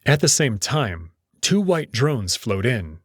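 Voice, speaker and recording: A man's voice: medium pitch, big pitch swings, takes it slowly, husky, no room sound, clean studio sound. A man narrating a scripted story in the first person, in a dramatic reading style.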